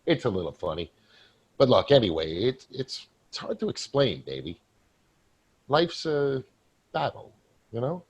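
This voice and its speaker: Stage ghost, male actor: wry, world-weary office worker, husky, very animated delivery. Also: medium pitch, full character voice. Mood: weary, reflective. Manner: wry, halting, reflective. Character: apparition of dead male coworker, wry, weary, darkly joking. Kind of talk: staged play reading.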